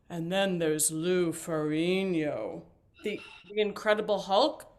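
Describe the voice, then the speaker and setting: A woman's voice: on the low side, takes it slowly. An actress playing a talkative, flighty, intrusive older mother in a stage play, chirpy and theatrical as she rambles. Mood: rambling, eager.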